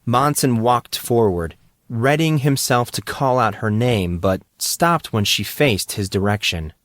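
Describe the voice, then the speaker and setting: A man's voice: medium pitch, lively intonation, unhurried, fairly bright tone, no echo, clean studio sound. A man narrating a story as a scripted dramatic audiobook reading.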